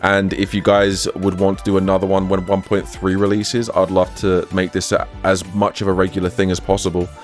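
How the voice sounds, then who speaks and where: Man, podcast host, lower voice, casual chatter, soft music bed. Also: monotone, brisk, few pauses. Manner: chatty, laughs often, repeats words when starting. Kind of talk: casual gaming podcast chat.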